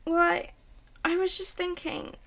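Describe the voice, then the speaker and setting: Radio-play housewife, nervous and hesitant, high with a gravelly edge, acted by a woman. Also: flat delivery, measured pace, a bit bright, full character voice. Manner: hesitant, stammering, falsely cheerful. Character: uneasy housewife and mother, nervously evasive.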